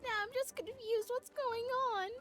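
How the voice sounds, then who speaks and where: A woman's voice: very high voice, moderate pace, a bit bright. A woman livestreaming a game in casual chat, playful and easily flustered, stammering through banter. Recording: music playing behind the voice. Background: music.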